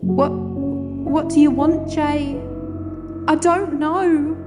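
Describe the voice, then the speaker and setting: A woman's voice: high voice, fairly even intonation, drawn-out words, music in the background. An actress playing a fierce, caring female spaceship crew member in an audio drama. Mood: worried.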